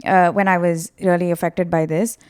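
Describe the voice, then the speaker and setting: A woman's voice: fairly low pitch, level tone, normal speed, darkish tone, clean tone, dry recording, clean studio sound. Co-host: woman, easygoing and encouraging, conversational podcast delivery. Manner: supportive, fills in her co-host's sentences. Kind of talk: casual chat.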